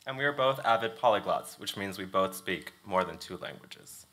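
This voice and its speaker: A man's voice: sits low, expressive, moderate pace, sharp tone. A young man giving a thesis presentation from a stage lectern, engaging the audience with questions. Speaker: student presenter. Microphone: lectern mic.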